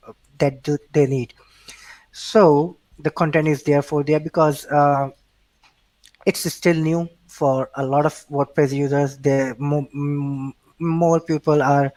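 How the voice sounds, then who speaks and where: A Pakistani-accented man whose first language is Urdu talks in a slightly high voice. He stops and restarts his sentences often and fills the gaps with "uh" and "um." The recording is dry, with no room sound. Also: darkish tone, no room sound, rough recording. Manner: hesitant, many restarts and fillers. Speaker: interview guest. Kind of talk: casual remote interview.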